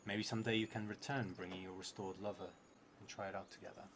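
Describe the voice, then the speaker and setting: Low-voiced man over background noise. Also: little pitch movement, quick pace. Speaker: solo role-playing game streamer. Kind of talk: narrating a solo tabletop game, reading prompts aloud and musing. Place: indoor streaming setup in a theatre venue.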